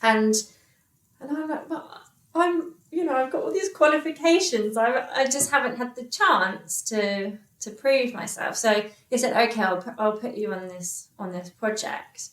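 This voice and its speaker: A woman's voice: fairly high pitch, fairly bright tone. A woman in her thirties, a podcast guest telling a personal story with many restarts and fillers. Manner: halting, many restarts and fillers, quotes others. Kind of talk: candid interview storytelling. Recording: echoey room.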